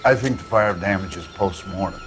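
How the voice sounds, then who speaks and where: An actor playing a middle-aged fire investigator, explaining matter-of-factly in a crime video game. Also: male voice, deep voice, normal speed, noisy background, lightly acted.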